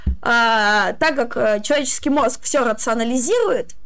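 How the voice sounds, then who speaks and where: A young woman in her twenties talks with a high voice. She explains her advice casually, with a hesitant, halting delivery. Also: fairly bright tone, clear, resonant tone. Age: 20s. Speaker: streamer giving advice.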